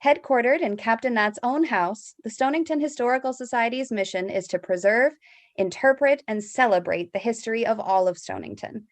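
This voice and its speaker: A woman giving a scripted announcement as a board member at a theater production. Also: on the high side.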